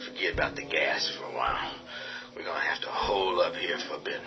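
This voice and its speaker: A man's voice: a bass voice, fast, whispered, over music. An actor playing a tough man barricading a house against the undead, talking urgently and giving orders. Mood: commanding. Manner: urgent, commanding, swings to shouting. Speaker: lead character. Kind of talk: radio drama acting.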